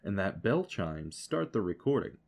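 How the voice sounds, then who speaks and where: A man's voice: low voice, big pitch swings, moderate pace, vocal fry, darkish tone. A man giving an explanatory tutorial voice-over as an audio producer, instructive and speaking directly to the listener.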